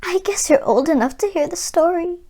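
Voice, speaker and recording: A woman's voice: very high-pitched, expressive, brisk, warm tone. A woman reading a storybook, voicing a sorrowful, emotional widowed mother. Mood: hushed, resigned. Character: tearful widowed mother of a young boy.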